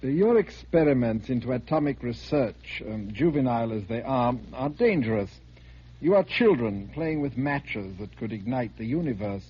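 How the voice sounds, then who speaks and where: A man playing a calm, condescending alien emissary with formal, measured speech in a 1950s American radio drama. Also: medium pitch, breathy edge. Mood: calm, superior. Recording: muffled, noisy vintage 1950s radio recording.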